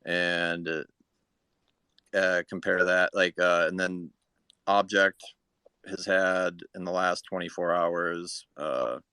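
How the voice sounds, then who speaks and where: A man explaining things in a halting, matter-of-fact way in a casual live audio chat. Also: sits low, steady tone, pushed and bright, clean tone, dry recording, clean studio sound. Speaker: participant reporting figures.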